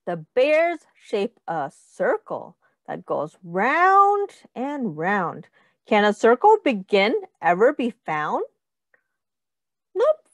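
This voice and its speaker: A woman reads aloud to young children as their teacher. Her voice is high and swoops expressively, and she takes her time. She sounds encouraging and sing-song, pausing to count and ask simple questions. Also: no echo, clean studio sound. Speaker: teacher reading a picture book aloud.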